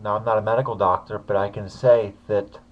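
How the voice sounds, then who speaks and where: A man's voice: lowish voice, steady tone, a little fast, lo-fi sound. A man casually commenting on a video he uploaded, talking hesitantly with many fillers.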